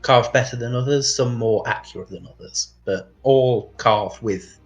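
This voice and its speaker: A man narrating casually as game master, improvising and thinking aloud in a halting way. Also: mid-range voice, dull audio, soft background music.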